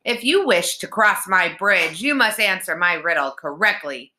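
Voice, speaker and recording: Woman acting out a shouting, menacing bridge troll. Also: fairly low pitch, lively intonation, slight background noise. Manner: shouting, demanding, drawn-out threats. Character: short, wide, furry, menacing male bridge troll.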